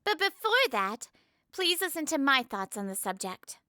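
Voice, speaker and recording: A woman's voice: high-pitched, very animated, moderate pace, pushed and bright, dry recording, studio quality, heavily acted. A woman playing a poised young female genius scientist, lecturing politely and articulately in a visual novel fan dub. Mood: assured lecturing.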